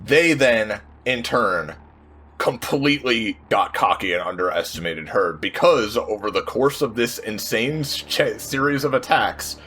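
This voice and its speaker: A man's voice: fairly low pitch, unhurried, pushed and bright, breathy edge. A man playing a tabletop role-playing game, thinking aloud, repeating himself and swearing casually as he talks through his moves and narrates his character.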